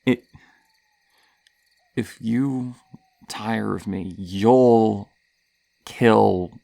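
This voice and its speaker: Man voicing insecure masked killer, horror ASMR roleplay, slow stammering delivery, close dry sound. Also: on the low side, warm tone, dry recording, full character voice. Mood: bitter, wary. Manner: stammering, halting, breathy sighs and nervous laughs. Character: flustered, scarred, masked male slasher killer, lonely and insecure. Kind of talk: ASMR horror roleplay, intimate monologue to listener.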